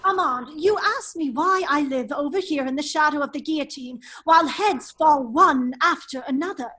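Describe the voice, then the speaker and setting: A woman's voice: sits high, normal speed, a bit bright. A woman reading the part of a daring English baronet with a secret double life in a radio drama. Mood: grave, firm. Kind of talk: table read of a 1930s-style radio drama.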